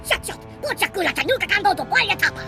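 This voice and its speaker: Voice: sing-song, fast talker, full character voice. An actor voicing a small, agitated Jawa alien that jabbers in alien gibberish in a video game. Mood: agitated protest. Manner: squeaky alien gibberish, chattering. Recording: music behind.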